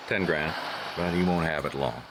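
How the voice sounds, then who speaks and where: An actor playing a weary, wry private detective in a hardboiled radio drama, laconic and understated, both narrating and in dialogue. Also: male voice, extremely low pitch, normal speed, creaky, noisy background. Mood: terse, dry.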